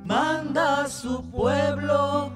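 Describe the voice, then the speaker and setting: A middle-aged woman singing a slow devotional hymn at a stand microphone in a church. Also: high voice, fairly even intonation. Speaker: church singer. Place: church, indoors. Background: guitar accompaniment.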